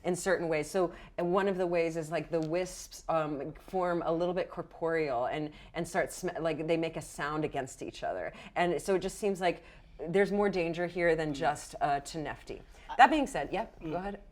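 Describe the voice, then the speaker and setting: A woman's voice: low-pitched, normal speed. A woman acting as game master, explaining rules and narrating a tabletop roleplaying game in a casual, improvised way.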